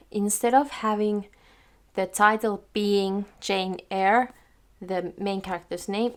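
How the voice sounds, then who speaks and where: Young woman, Finnish accent, mid pitch, measured and careful, explanatory vlog delivery. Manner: careful, measured, occasional restarts mid-word. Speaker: book vlogger.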